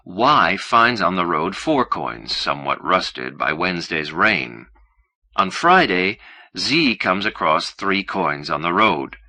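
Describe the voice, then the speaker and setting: Very deep, bright-voiced male narrator. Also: no echo. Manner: measured, formal, precise reading. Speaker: audiobook narrator. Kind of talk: scripted narration of literary fiction.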